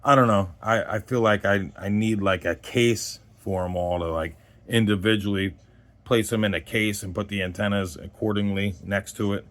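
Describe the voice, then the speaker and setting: A man's voice: on the low side, steady tone, normal speed, fairly bright tone. A man in his forties giving a casual, unscripted gear review as host, in a rambling conversational way that trails off mid-thought. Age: 40s.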